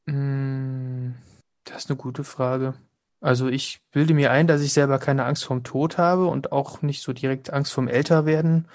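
A man's voice: medium pitch, fairly even intonation, measured pace, darkish tone, no echo, clean studio sound. A young man answering personal questions casually, chatty with hesitant fillers. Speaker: interviewee.

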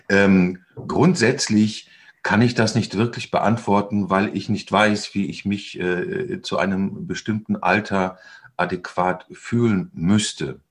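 A fifty-year-old man answering interview questions thoughtfully, pausing often with fillers. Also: fairly low pitch, gravelly. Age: 50s. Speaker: guest, stage actor and director.

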